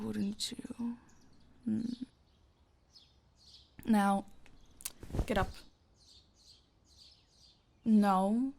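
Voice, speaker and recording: A woman playing a possessive, teasing mafia boss in an intimate audio roleplay, commanding and often dropping toward breathy whispers. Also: medium pitch, a little mellow, a little hiss or hum.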